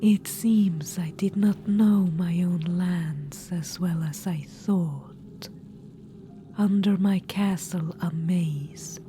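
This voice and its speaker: A woman voicing an ancient undead witch-queen in an ASMR roleplay. She whispers softly, coaxing and a little condescending, and calls the listener darling. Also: on the low side, a little hiss or hum.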